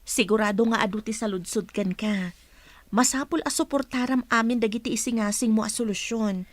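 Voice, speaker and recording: A woman's voice: a little high, brisk, no room sound, lightly acted. A woman with an Ilocano accent playing a stern, businesslike office supervisor in a radio drama.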